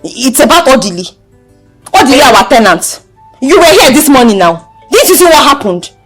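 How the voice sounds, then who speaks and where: Casting: outspoken young village daughter, film drama. Female, Nigerian accent, high-pitched, scolding, repeats herself for emphasis. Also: sing-song, normal speed, husky, heavily acted. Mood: upset, pleading. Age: young adult. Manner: insistent, repetitive, emphatic. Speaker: actor. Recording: background music under the dialogue.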